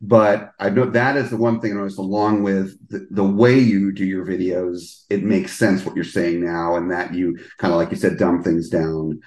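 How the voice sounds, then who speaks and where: A man's voice: low voice, fairly even intonation, moderate pace, hardly any pauses, a little mellow, echoey room. A man hosting a podcast interview, chatting casually and rambling with many restarts. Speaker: podcast host.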